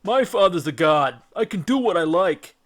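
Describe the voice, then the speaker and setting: A hulking, greedy Cyclops from a storybook, acted out by a male reader. He is brutish and boastful, taunting in a loud, forceful voice that sits fairly high for such a giant. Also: expressive, moderate pace. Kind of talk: children's storybook character voice.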